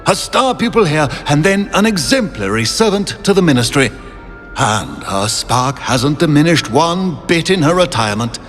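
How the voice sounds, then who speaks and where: A man's voice: a little high, sing-song, moderate pace, a bit bright. An actor playing a pompous, courtly, nostalgic old British statesman living on as a portrait in a video game. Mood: proud, nostalgic. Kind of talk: video game character acting. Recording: long reverberant hall, music underneath.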